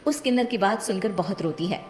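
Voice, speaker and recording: A woman's voice: medium pitch, fairly quick, a little mellow, faint music behind. Echoey woman narrating a cartoon story.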